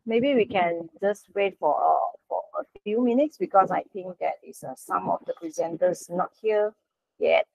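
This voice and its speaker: A woman with a Malaysian accent moderating an online session in informal talk, polite and halting, over dull lo-fi call audio. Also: fairly low pitch, creaky, a little mellow, dry recording. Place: online video meeting.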